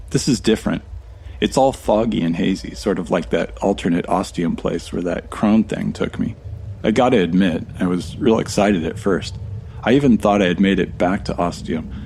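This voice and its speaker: An actor playing a wry, bewildered American traveler in an audio drama, with a casual, deadpan delivery. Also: male voice, low voice, a little hiss or hum. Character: wry, bewildered American male traveler stranded among ghosts. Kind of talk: audio drama acting with first-person narration.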